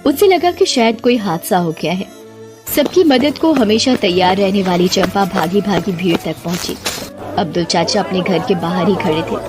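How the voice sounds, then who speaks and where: A woman's voice: medium pitch, normal speed, over music. A woman narrating a scripted Hindi story in a dramatic storytelling style.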